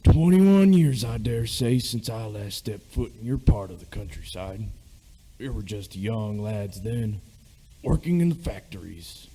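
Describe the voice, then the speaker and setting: A man's voice: fairly low pitch, lively intonation, measured pace, dark tone, slightly rough. A man playing a reluctant middle-aged sergeant major in an amateur radio play, speaking hesitantly in old-fashioned phrases. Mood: reminiscing.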